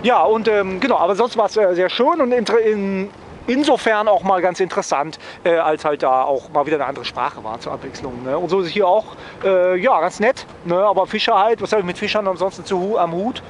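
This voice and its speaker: A man's voice: high-pitched, a little fast, a little hiss or hum. A man chatting casually to viewers as a travel vlogger, rambling and wryly joking, often tagging phrases with a questioning "ne?".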